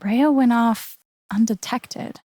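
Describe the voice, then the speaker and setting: A woman's voice: fairly high pitch, slow pace, dark tone, dry recording. An actress playing an earnest, caring and determined young woman in naturalistic, conversational video game dialogue. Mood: worried, determined. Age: young adult.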